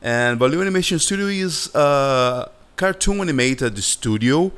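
A man with a Brazilian Portuguese accent, a Portuguese native speaker talking casually to his viewers as an animator hosting his channel, unhurried and searching for words. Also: a little high, animated, fairly bright tone, smooth. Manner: slow and halting, searching for words, friendly. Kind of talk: casual vlog chat over a screen recording.

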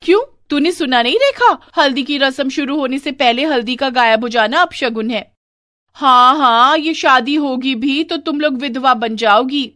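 Woman putting on a loud, high-pitched spiteful aunt. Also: no echo, studio quality. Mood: taunting. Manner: taunting, theatrical, drawn-out gloating. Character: spiteful scheming older aunt, cackling and taunting.

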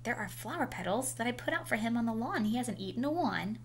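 Woman voicing an urgent, high-pitched little girl. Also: expressive, fast. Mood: eager. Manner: urgent, hushing and pleading. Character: eager, urgent little girl awaiting the Easter Bunny. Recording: noisy home video recording.

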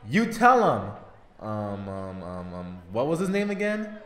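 A man's voice: on the low side, huge pitch swings, unhurried, fairly bright tone, reverberant, full character voice. A man voicing an exasperated, scheming schoolboy with a sarcastic, crude inner monologue in an anime parody dub. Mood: sardonic. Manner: sarcastic, self-talking, crude.

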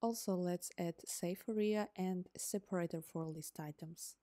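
A woman whose first language is Ukrainian, calmly narrating a scripted coding tutorial step by step as host. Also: on the low side, unhurried, no room sound, studio quality. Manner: calm, step-by-step explaining. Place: voice-over on screen recording.

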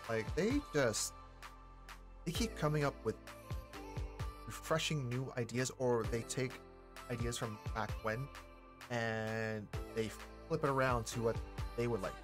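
A man in his forties giving casual, slangy reaction commentary in a halting, enthusiastic way as a video host. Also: mid-range voice. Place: small indoor streaming room. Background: pop music.

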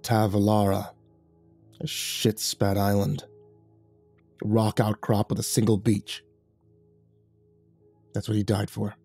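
This is a gruff, battle-hardened warrior sunk in grief, put on by a male storyteller. His voice is dark and mellow, and he speaks in a weary, bitter way. It has the feel of a spoken horror story. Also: on the low side, monotone, slow pace, long pauses, soft background music, voice pushed down, full character voice.

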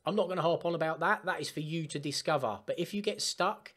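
A man chatting casually through a step-by-step software tutorial for gamers. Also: on the high side, fast. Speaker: gaming tutorial host. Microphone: desktop microphone, voice-over of screen capture.

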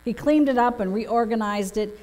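A woman's voice: on the high side, moderate pace, warm tone. A middle-aged woman pastor delivering a prepared sermon with storytelling from a church pulpit. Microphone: gooseneck pulpit microphone. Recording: echoing church hall, long reverb.

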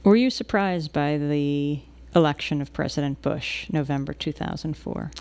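A woman's voice: low voice, moderate pace, a little mellow. A woman interviewer asking direct, plain questions in a studio.

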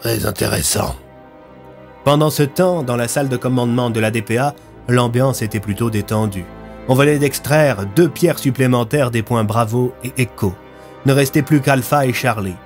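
A man in his thirties narrates a scripted story with dramatic delivery. His voice sits at a middle pitch, with music playing softly behind him. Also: moderate pace. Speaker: narrator of his own audio fiction.

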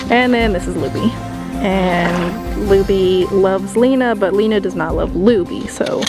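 A woman's voice: medium pitch, unhurried, music in the background, rough recording. A young woman casually narrating a walking tour of a horse barn, upbeat and chatty as she points things out. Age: young adult. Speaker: vlogger giving a barn tour. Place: horse barn and snowy paddocks outdoors.

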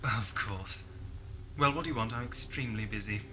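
An actor playing a brisk, wry time-travelling scientist in a science-fiction audio drama, dry and authoritative. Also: male voice, on the low side, pushed and bright, slight background noise, low-quality recording.

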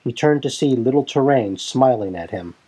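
A man reading a fan-fiction story aloud as narrator, in a dramatic, deliberate storytelling manner. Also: mid-range voice, lively intonation, mellow, slightly rough, poor audio.

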